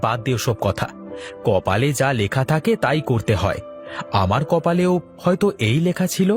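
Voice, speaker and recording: A man playing a humble, kind samosa-selling husband in a cartoon, homely and gently preachy. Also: mid-range voice, very animated, normal speed, over music, natural voice. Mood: kindly, generous. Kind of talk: cartoon story dialogue.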